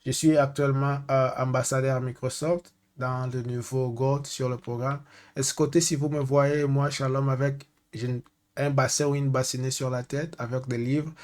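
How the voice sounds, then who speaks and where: A man from Angola, a native Portuguese speaker with an Angolan accent, works as a data consultant and gives a hesitant screen-share tech tutorial, often pausing to search for French words. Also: medium pitch, level tone, darkish tone.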